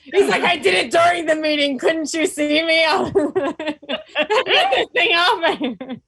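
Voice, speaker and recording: A woman's voice: very high voice, lively intonation, unhurried, crowd noise. A woman bantering playfully and casually on a video call.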